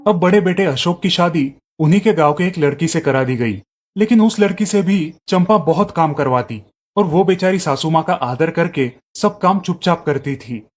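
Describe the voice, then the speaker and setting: A man's voice: fairly high pitch, lively intonation, normal speed, faint music behind. A man reading scripted narration for a moral family story.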